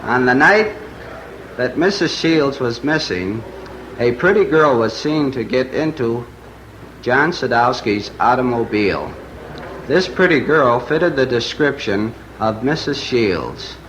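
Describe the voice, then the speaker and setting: A man speaking as a homicide detective, reading scripted testimony stiffly and deliberately on 1940s radio. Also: mid-range voice, expressive, fairly bright tone, rough recording. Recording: old radio recording with faint noise.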